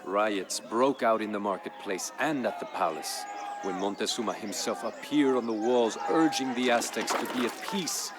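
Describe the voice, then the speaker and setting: A man playing a proud, grave Aztec jaguar warrior who solemnly recounts his people's fall as a strategy game's campaign narrator, in a measured, dramatic way. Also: lowish voice, a crowd in the background, background noise, lightly acted. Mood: grave, brooding. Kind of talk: solemn scripted storytelling narration for a strategy game.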